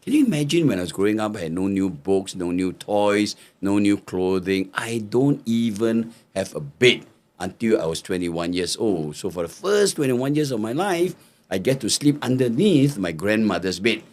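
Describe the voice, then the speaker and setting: Older man telling stories in a low voice. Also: moderate pace. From Singapore. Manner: lively storyteller, mimics market hawkers' calls. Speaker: guest. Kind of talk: storytelling interview answers.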